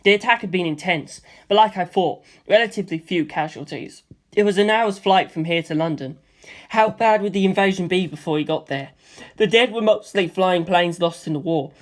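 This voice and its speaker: A reader narrating an adventure story in the first person, in a steady, plain storytelling manner. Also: mid-range voice. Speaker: audiobook reader.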